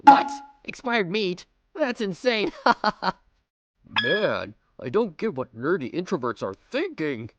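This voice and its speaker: A man's voice: high-pitched, very animated, slow pace, highs cut off, heavy background noise, voice pushed up, heavily acted. A man putting on the voice of a scornful teenage classmate in a dub, scoffing. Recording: music bed behind voice.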